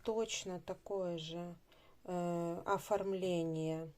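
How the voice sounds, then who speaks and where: A woman narrating a craft tutorial step by step, in an unhurried, instructive way, repeating phrases as she goes. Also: fairly low pitch, fairly even intonation, warm tone, a little hiss or hum.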